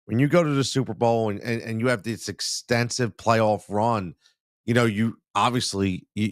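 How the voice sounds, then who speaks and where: A man's voice: medium pitch, no room sound, clean studio sound. A man with a cold hosting a live sports talk show, rambling and repeating himself, calling listeners man. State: congested from a cold.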